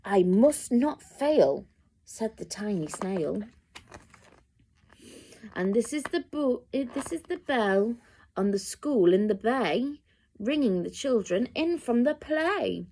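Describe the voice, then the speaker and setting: Animated woman reading a children's story. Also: fairly high pitch. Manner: deliberate, dramatic emphasis, addressing young listeners. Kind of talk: expressive picture-book read-aloud.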